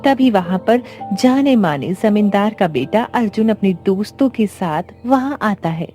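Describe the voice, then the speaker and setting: Female story narrator for a village moral cartoon, mellow tone, music underneath. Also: mid-range voice, lively intonation, unhurried. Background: background music.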